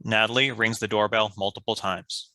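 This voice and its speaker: A man's voice: fairly low pitch, fairly even intonation, normal speed, pushed and bright, dry recording. A man reading scripted stage directions as a narrator.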